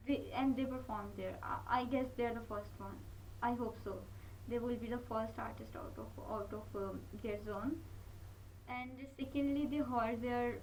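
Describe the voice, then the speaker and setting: A woman's voice: fairly high pitch, normal speed, darkish tone, distant mic, a little hiss or hum, rough recording. A woman hosting a reaction video, talking casually and earnestly with many fillers and restarts. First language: not Korean.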